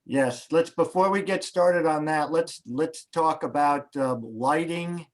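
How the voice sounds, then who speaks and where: A man hosting, talking casually and haltingly over a video call, coaching a guest before a show. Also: on the high side. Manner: hesitant, restarts, coaching tone. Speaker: host.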